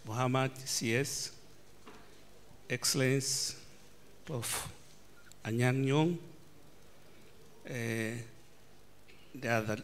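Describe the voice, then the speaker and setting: A man from Tanzania, answering on a formal panel in a halting manner with formal greetings. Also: medium pitch, a bit bright, a little hiss or hum. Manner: halting, many fillers and restarts, formal address. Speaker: panelist, government official.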